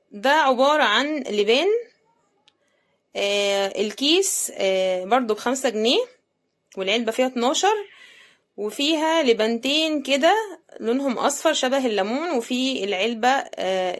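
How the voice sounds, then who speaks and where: Casting: Egyptian woman, fairly high voice, conversational unboxing host with a casual, thinking-aloud delivery. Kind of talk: casual running commentary while unboxing.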